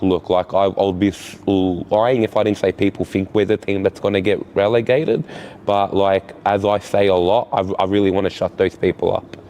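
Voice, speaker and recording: A man, a futsal goalkeeper being interviewed, talking in halting bursts that lean on "like" and often restart. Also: on the low side, warm tone, a little hiss or hum. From Australia. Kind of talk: post-season player interview.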